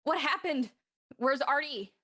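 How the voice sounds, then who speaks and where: A woman's voice: sits high, expressive, a little slow, bright, forceful tone, full character voice. A woman playing a blunt, tough, wry young woman in a naturalistic audio drama. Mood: shaken, wondering.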